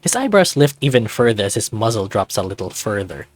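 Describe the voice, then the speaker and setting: A man's voice: fairly low pitch, very animated, a little fast, dry recording. A man narrating a visual novel and chatting casually as the host of a read-aloud channel.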